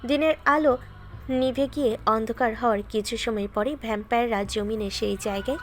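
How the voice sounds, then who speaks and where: A woman narrating a scripted story in a steady, dramatic way. Her voice sits a little high, and faint background music plays beneath her. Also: a little fast. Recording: music bed behind.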